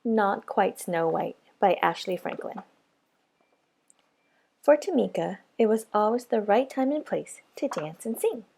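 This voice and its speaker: A woman reads a storybook aloud to children as a preschool teacher would. Her voice sits at an average pitch, with a mellow tone and a good deal of creak. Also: animated, a little slow.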